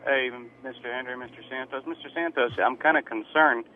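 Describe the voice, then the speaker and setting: A man's voice: mid-range voice, brisk, slight background noise. A man, earnest and insistent, arguing as a caller to a radio show. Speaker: caller, law enforcement officer. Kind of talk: call-in argument. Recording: dull narrow phone line.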